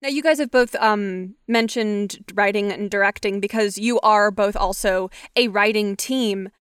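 Smooth-voiced woman podcast host. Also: medium pitch, a little slow, no echo, studio quality. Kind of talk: scripted narration and interview questions.

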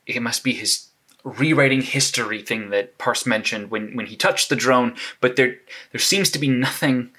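A man's voice: mid-range voice, bright, forceful tone. An actor playing a rattled young male hero who stammers anxiously as he records a case log in an audio drama. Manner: stammering, anxious self-talk. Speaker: superhero keeping a case log.

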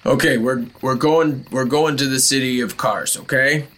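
A man's voice: mid-range voice, steady tone, normal speed, a bit bright, natural voice. A man playing an impatient, evasive cult henchman in a tabletop game, talking in casual, slangy, exasperated bursts. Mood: flustered, annoyed. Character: gruff, exasperated cult raider, reassuring and evasive.

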